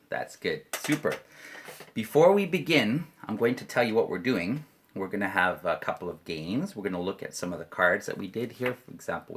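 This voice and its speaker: Playful, low-voiced Canadian man teaching. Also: a bit bright. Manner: playful, repeats chants slowly and clearly. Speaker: English teacher. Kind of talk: online English lesson for children.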